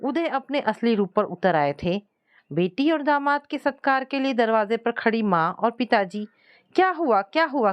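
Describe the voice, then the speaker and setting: A woman storyteller reading a scripted first-person family drama. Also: mid-range voice.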